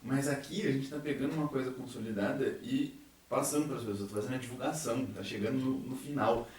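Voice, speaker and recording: A man's voice: medium pitch, brisk, a little mellow, a little hiss or hum. A young Brazilian man chatting casually on a podcast, giving his opinions in an informal way. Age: young adult. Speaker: university student in a science theatre group. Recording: very echoey room, distant from microphone.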